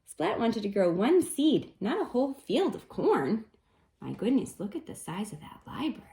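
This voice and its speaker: Animated woman reading a picture book to children. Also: fairly high pitch. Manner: animated, coaxing, pausing to comment and wonder. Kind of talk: expressive read-aloud for children with chatty asides.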